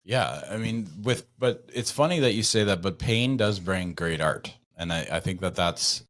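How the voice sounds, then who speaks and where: A middle-aged man hosting a casual interview, speaking in a halting, tentative way and rephrasing as he goes. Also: deep voice, animated, a bit bright, dry recording, studio quality. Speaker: podcast host.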